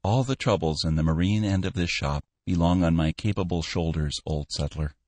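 A man's voice: deep voice, normal speed, a little mellow, no room sound, pitched below the performer's own voice. A man voicing an assertive younger businessman in an audiobook, blunt, defensive and a little jeering. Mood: accusing. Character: assertive younger businessman, accusing son-in-law and boss.

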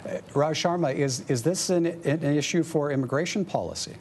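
A man in his forties hosting a TV panel in a studio, asking questions haltingly with many fillers. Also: a little high, darkish tone, a little hiss or hum. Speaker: TV host. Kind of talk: moderating a panel.

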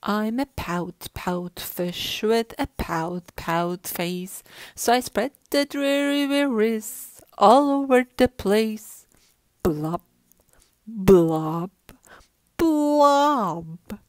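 A woman voicing a glum, resigned male fish in a rhyming children's storybook, with a mopey, drawn-out delivery. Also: medium pitch, no echo. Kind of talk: children's storybook read-aloud.

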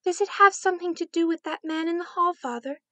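A woman's voice: very high-pitched, fast, pushed and bright, dry recording. A woman voicing a small, curious, obedient little girl in a radio drama, innocent and full of questions. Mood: anxious, curious.

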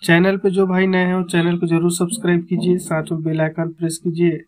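A man with a fairly high voice explains number-chart calculations in a flat, level tone. He counts aloud step by step and repeats each sum as he goes. He sounds close to the mic. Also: a little fast. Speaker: number-game tipster.

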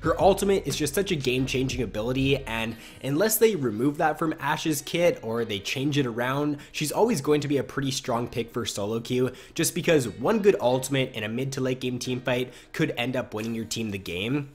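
A man with a stuffy nose from a cold, hosting a casual game strategy video and explaining his picks in a relaxed way. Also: medium pitch, a little fast, hardly any pauses. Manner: relaxed, explanatory, repeats phrases. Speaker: gaming video host.

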